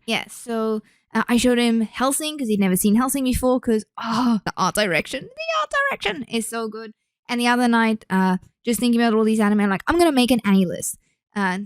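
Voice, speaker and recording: A woman's voice: mid-range voice, no room sound. A young woman, chatting casually and rambling through her own podcast. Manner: chatty, rambling, with frequent restarts. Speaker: podcast host. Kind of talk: casual solo podcast chat.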